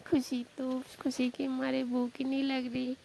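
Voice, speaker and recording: A woman's voice: sits high, completely flat delivery, a little slow, creaky, mellow. A young woman playing an apologetic, affectionate newly married wife in a casual staged phone-call skit, explaining herself earnestly. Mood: happy, coy. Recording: noisy phone call recording.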